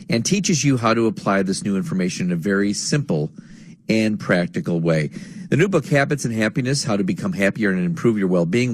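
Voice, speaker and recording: Radio host guy, low husky voice, smooth announcer read, dry studio sound. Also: no echo. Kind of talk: radio interview.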